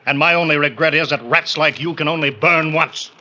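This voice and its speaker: A man's voice: fairly high pitch, fairly even intonation, a little fast, over loud music, lightly acted. An actor playing a stern, vengeful policeman with a hard, accusing 1940s radio delivery. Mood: grim accusation. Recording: dull, slightly noisy vintage radio recording.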